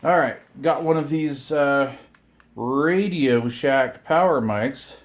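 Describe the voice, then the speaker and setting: A man's voice: mid-range voice, slow. A middle-aged man chatting casually as he hosts an unboxing video, rambling along with a self-deprecating, grumbling streak. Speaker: video host unboxing radio gear. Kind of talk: casual unboxing chat to camera.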